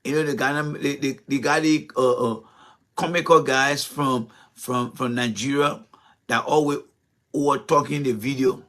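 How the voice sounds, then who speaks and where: A man's voice: medium pitch, steady tone, unhurried, fairly bright tone. A man hosting a political commentary show, talking with passion, throwing out rhetorical questions and repeating his points. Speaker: host.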